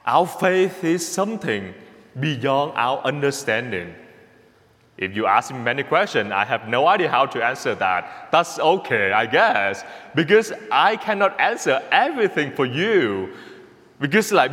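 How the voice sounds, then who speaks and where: Vietnamese-accented priest, male, slow homily delivery, mid pitch, long church reverb. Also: big pitch swings. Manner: slow, repeats words, speaks to the congregation with a gentle "okay?". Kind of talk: sermon.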